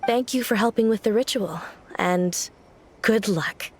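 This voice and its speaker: A woman's voice: mid-range voice, measured pace, heavy background noise, close to an everyday voice. A woman playing a polite, earnest young shrine maiden in an anime game, courteous and dutiful. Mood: respectful, grateful. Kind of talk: scripted anime game voice acting.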